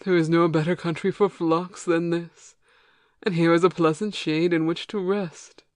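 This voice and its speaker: A woman playing a self-assured, hospitable male giant watchman with a hundred eyes, read in a measured, formal way for an amateur audio play. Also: on the low side, steady tone, no room sound, lightly acted. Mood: friendly, curious.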